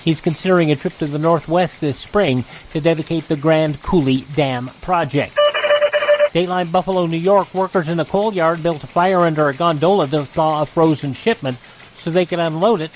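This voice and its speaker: A man's voice: fairly high pitch, normal speed, slight background noise, rough recording. A man with an American accent reading scripted intros and old news headlines as a radio host.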